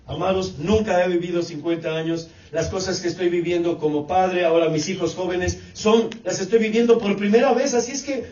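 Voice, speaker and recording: A man in his late forties preaching a sermon, repeating phrases in a steady cadence and calling the congregation brothers. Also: high voice. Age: 40s. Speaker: pastor. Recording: echoey, distant, dull church recording.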